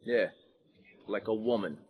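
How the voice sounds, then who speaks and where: An actor playing a cool, sarcastic American criminal in a video game, with an American accent and a dry delivery over background ambience. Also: male voice, on the low side, a little slow, slightly rough, narrow, tinny audio. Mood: mocking, cool. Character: sardonic, unflappable tough-guy American criminal. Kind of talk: video game cutscene acting.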